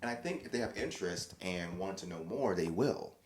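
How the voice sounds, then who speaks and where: Low-voiced man, chatty podcast ramble. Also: a little fast, reverberant, slight background noise. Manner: chatty, rambling, frequent restarts, animated quoted voices. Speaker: podcast host. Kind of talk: casual solo podcast commentary.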